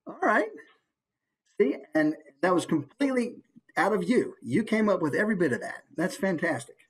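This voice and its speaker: A man's voice: high voice, animated, moderate pace, slightly rough. A man leading an online class as an informal, encouraging instructor. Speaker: voice acting workshop presenter. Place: online video call.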